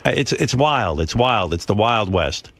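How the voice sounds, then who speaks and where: Profane, animated male radio host. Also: on the low side, a little slow, a little hiss or hum. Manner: profane, emphatic ranting. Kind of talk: casual radio talk. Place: radio studio. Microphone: studio microphone.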